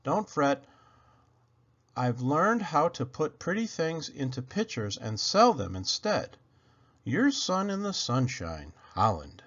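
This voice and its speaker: A man's voice: fairly high pitch, sing-song, takes it slowly, a bit bright, heavily acted. A man voicing a reassuring, upbeat son writing home to his parents in a children's storybook. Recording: dull lo-fi sound.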